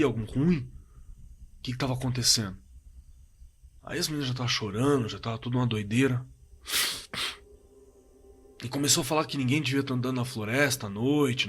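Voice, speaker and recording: A man with a Brazilian accent playing a shaken young man recounting a frightening night in a halting, colloquial way, in a horror audio drama. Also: mid-range voice, fairly bright tone, breathy edge. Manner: halting, repeating words, colloquial. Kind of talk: dramatized first-person horror story, told conversationally.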